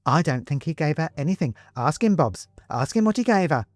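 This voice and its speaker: A man's voice: fairly high pitch, sing-song, fairly quick, no echo, voice pushed up. Man putting on a prim, meddling ghost mother. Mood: insistent, prying. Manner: prim, gently pointed, nagging.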